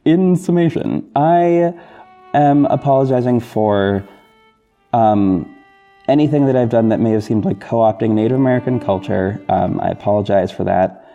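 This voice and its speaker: A man hosting a podcast, talking casually and apologetically to listeners in a halting, self-correcting, rambling way. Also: mid-range voice. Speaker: podcast host and audio drama creator. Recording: dull lo-fi recording with music bed. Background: soft guitar backing music.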